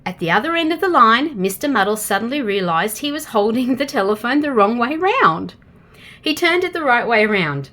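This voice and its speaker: A woman with an Australian accent reading a children's storybook aloud in an expressive way, addressing young listeners directly. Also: a little high, moderate pace. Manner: expressive, asks the listener questions.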